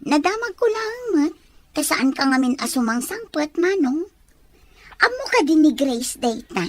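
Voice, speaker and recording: A woman's voice: sits high, big pitch swings, a little slow, no echo. A woman voicing a scheming young woman who teases and pries with sly questions, in an audio drama. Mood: teasing. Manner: teasing, prying questions with sly laughs. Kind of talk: Ilocano radio-style drama acting. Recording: faint music behind.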